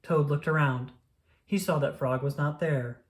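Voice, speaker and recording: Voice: mid-range voice, unhurried. A narrator reading a children's storybook aloud in a scripted storytelling style.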